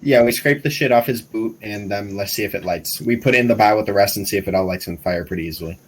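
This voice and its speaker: A man's voice: lowish voice, fast, poor audio. A man playing a tabletop game, talking casually and jokingly with the group. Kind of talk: casual, jokey in-game banter. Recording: clear background noise.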